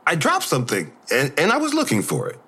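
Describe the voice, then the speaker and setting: Expressive, higher-voiced wounded boyfriend. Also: male voice, fast, breathy edge, full character voice. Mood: evasive. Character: injured, playful boyfriend, tender, earnest, wearing thin. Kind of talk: audio drama dialogue.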